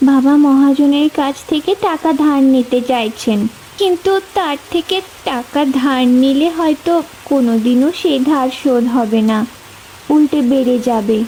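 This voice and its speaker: A woman's voice: high voice, moderate pace, mellow, slight background noise, close to an everyday voice, low-quality recording. A young woman playing an earnest, determined, tender-hearted village girl in a cartoon, with emotive delivery. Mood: sad, tender. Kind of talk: cartoon voice acting.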